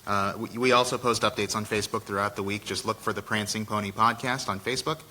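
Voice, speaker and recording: A male co-host with a lowish, bright, forceful voice asks questions and reads the closing plugs before a live audience. He keeps things friendly and easygoing, with a faint room ambience behind him. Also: steady tone, fast, a little hiss or hum. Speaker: podcast co-host. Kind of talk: live interview and wrap-up.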